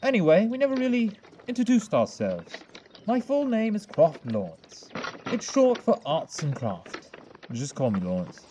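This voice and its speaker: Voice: mid-range voice, wildly swooping pitch, normal speed, noisy background. An actor playing a sardonic, self-serving young male traveling merchant with a dry, sarcastic manner in a comedic anime parody dub. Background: music.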